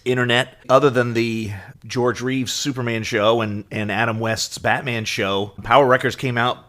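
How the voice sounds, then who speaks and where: A middle-aged man with a medium-pitched voice talks as a podcast co-host. He explains casually like a keen fan, pausing between items as he lists them. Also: steady tone, a bit bright.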